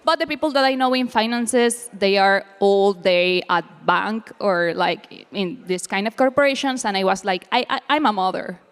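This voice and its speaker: A woman's voice: mid-range voice, animated, moderate pace, hardly any pauses, clean tone. A woman telling her own story as a panelist. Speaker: panelist, community co-founder. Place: summit event room. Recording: reverberant hall.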